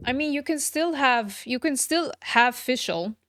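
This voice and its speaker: A woman's voice: high voice, expressive, measured pace. A woman game streamer chatting casually with her viewers. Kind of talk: casual livestream chat. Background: soft background music.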